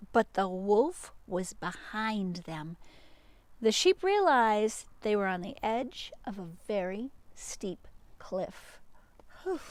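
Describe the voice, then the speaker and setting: A woman hosting a children's storytime, reading aloud and speaking directly to young listeners with questions and asides. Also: medium pitch. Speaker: storytime reader and channel host.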